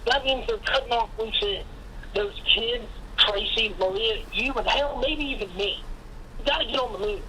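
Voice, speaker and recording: A woman's voice: a little high, normal speed, background noise. A woman playing a brash, paranoid conspiracy theorist in an audio drama, blunt and urgent, through a telephone filter. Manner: urgent, blunt, salty-tongued.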